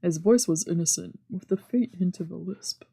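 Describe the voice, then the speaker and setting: A woman's voice: fairly low pitch, normal speed, gravelly, husky. A woman streamer reading visual novel narration aloud for a let's play. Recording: soft game music behind.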